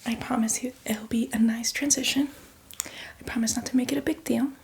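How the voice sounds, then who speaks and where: A woman's voice: a little high, steady tone, fairly quick, natural voice. A woman playing a chatty, apologetic, casually bloodthirsty zombie in a whispered ASMR roleplay, rambling and reassuring. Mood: coaxing, impatient.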